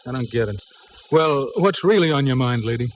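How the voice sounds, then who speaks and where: A streetwise news vendor played by a man, slangy and cagey in vintage radio style. His laconic lines bend up and down in pitch on a dull recording with some hiss. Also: lively intonation, normal speed, lightly acted. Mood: wisecracking. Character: slangy, cagey male street newspaper seller, laconic wise guy. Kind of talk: 1940s radio drama acting.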